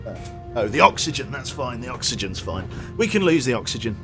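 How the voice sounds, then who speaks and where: Lively man streaming a game. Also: medium pitch, brisk, over music. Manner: excitable, swears casually, talks to crew. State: losing his voice. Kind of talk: gameplay commentary.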